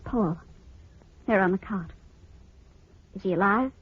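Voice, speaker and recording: An actress playing an anxious, determined wife in an old-time radio drama, earnest and pleading. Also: female voice, medium pitch, slow pace, husky. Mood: uneasy, frightened. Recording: muffled, hissy old radio recording.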